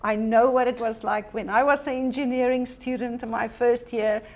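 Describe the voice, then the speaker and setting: A woman's voice: fairly high pitch, fairly even intonation, moderate pace. A woman whose first language is Afrikaans, giving an earnest, encouraging workshop presentation about teaching technology, with frequent ums.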